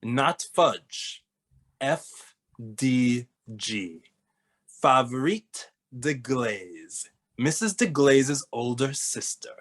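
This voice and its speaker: A man playing a young amateur detective in a cartoon mystery table read, explaining crimes in a deliberate, theatrical way. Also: mid-range voice, lively intonation, fairly bright tone, exaggerated voice. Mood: dramatic, deductive. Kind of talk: animated comedy table read, dramatic deduction monologue.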